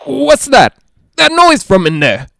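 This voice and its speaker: A man's voice: extremely high pitch, huge pitch swings, takes it slowly, creaky, a bit bright, full character voice. A man playing a grumpy, anxious, penny-pinching property owner in a 1940s-style radio drama, curt and suspicious. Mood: uneasy.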